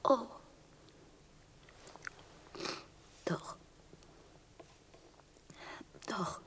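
A woman playing a tender, worried middle-aged mother in a radio drama, gentle and musing, with a breathy, half-whispered voice. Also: unhurried, natural voice. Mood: subdued.

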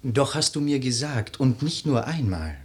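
An actor playing an earnest, admiring young gentleman and friend in a verse radio drama, speaking thoughtfully. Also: medium pitch, expressive, moderate pace, natural voice. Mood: gently urging. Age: young adult.

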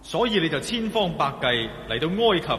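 Radio drama hero: shrewd, scornful adventurer, forceful lively delivery, Hong Kong Cantonese. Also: male voice, a little fast. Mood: cool, shrewd. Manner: dramatic, defiant, coolly scornful. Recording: echoey hall, rumbling background noise.

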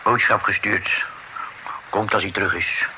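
A gravelly, curt doctor. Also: male voice, on the low side, fairly even intonation, unhurried, sharp tone, heavily acted. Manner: clipped, telegraphic fragments. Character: curt, gruff doctor at a sickbed. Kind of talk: radio drama acting. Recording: noisy old radio drama recording.

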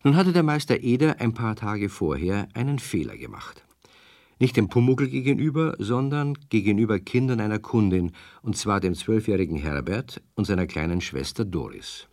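A man's voice: lowish voice, animated, normal speed, no echo, studio quality. A man narrating a children's radio story, speaking directly to the young listeners. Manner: warm, addresses children directly.

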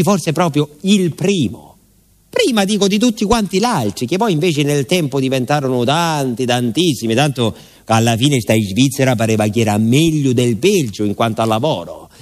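A man playing a rambling, animated villager with a southern Italian accent, confiding his story colloquially in a theatrical radio monologue. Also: on the high side. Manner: colloquial, confiding, dialect-laced storytelling to a listener.

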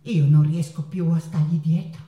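An actress playing an ailing, passionate woman painter in a theatrical monologue, halting and repeating her words with dramatic pauses. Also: low voice, fairly even intonation, warm tone, lightly acted. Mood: distraught, restless. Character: ailing, passionate woman painter, tormented yet playful, in love. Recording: roomy, distant stage pickup with guitar. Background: plucked guitar music.

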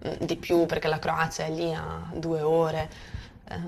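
A woman from Bosnia whose native language is Bosnian gives unscripted personal testimony. Her voice is a little low, and she hesitates as she searches for words. There is faint noise in the background. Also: monotone, a little slow, vocal fry, darkish tone.

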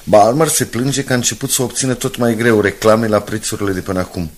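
An actor playing a sober, shrewd senior newspaperman in a Romanian radio drama, explaining things in a measured way. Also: fairly low pitch, natural voice. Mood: concerned.

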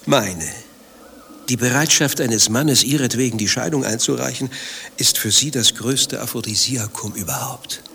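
An actor playing a vain, easily riled adulterer in a satirical German radio play, long-winded and self-justifying. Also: male voice, mid-range voice, normal speed, creaky, sharp tone, a little hiss or hum. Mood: self-absorbed, confiding. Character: vain, thin-skinned adulterer waiting for his lover.

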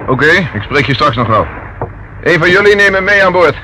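An actor playing a brisk, commanding and suspicious police inspector in a radio play, giving clipped orders and probing questions. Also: male voice, fairly high pitch, very animated. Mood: stern, probing. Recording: noisy old radio drama recording.

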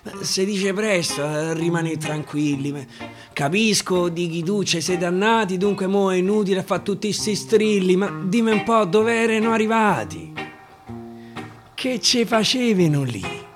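A man with a Roman accent performs a dialect narrative poem in a high voice. He takes his time, shifting expressively between hushed and declaimed delivery, over prominent acoustic guitar music. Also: a little slow. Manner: expressive, swings from hushed murmurs to loud declaiming. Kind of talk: theatrical dialect monologue with guitar.

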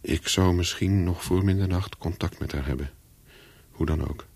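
An actor playing a brooding former secret agent in a radio play, husky and measured, haunted by his memories. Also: sits low, a little mellow, exaggerated voice. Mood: brooding, subdued. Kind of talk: radio play acting with first-person narration.

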